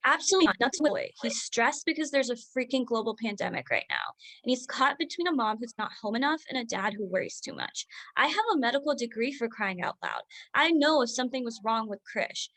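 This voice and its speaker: A woman playing a stressed, defensive doctor mother in a staged drama reading, with a forceful, insistent manner. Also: a little high, expressive, a little fast, few pauses, dry recording. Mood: indignant, defiant.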